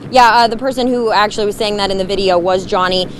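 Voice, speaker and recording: A woman's voice: mid-range voice, fast, poor audio. Woman reporter live outdoors, faint noise. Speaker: TV news reporter. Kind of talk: live report answering anchor.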